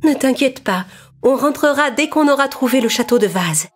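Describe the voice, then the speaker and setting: Woman playing high-voiced young fairytale heroine. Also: lively intonation, normal speed. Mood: reassuring. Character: young Cinderella, curious, brave, caring fairytale heroine.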